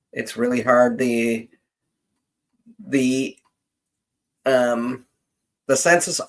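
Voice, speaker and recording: A man with a fairly low voice talks very slowly and casually, like a host thinking aloud on a live stream. He is hesitant and halting, often leaving sentences hanging as he works through his explanation. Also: rough recording. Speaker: genealogist hosting a live question session.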